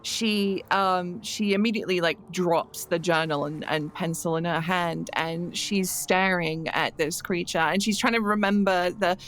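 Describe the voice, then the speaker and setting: A woman's voice: lowish voice, fairly even intonation, a little slow, hardly any pauses, a bit bright. A woman playing a tabletop roleplaying game, casually narrating her character's actions in hesitant, improvised phrases.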